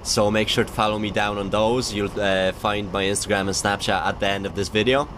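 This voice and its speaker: A man's voice: on the low side, steady tone, fairly quick, fairly bright tone. A young man chatting casually to his viewers as a BMX vlogger, upbeat and rambling with fillers, while riding a motorbike. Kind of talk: casual vlog chat to viewers. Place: riding a motorbike on open roads. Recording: road and engine noise behind voice.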